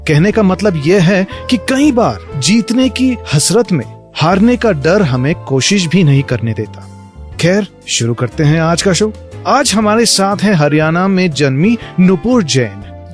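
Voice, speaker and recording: Radio host guy, bit high pitched, talks to listeners like friends, quiet music under. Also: very animated, measured pace. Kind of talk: scripted radio presenting addressed to listeners. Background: faint music.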